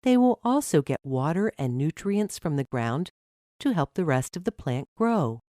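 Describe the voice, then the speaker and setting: A woman voicing a patient, encouraging mother who explains things gently to her child in a storybook. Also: deep voice, big pitch swings, moderate pace, no echo, studio quality. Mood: patient, explanatory.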